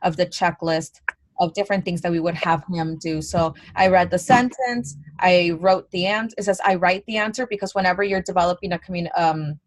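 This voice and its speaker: A woman's voice: fairly low pitch, steady tone, fairly quick, dry recording. A young adult woman giving a college lecture in a conversational way, with many fillers and restarts.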